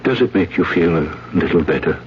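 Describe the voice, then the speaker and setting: A man playing a gentle, bewildered priest in a 1970s radio drama, patient, hesitant and questioning. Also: deep voice, expressive, brisk. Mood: gentle, consoling. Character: gentle, bewildered fatherly male priest hearing a confession. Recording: dull, noisy 1970s radio broadcast.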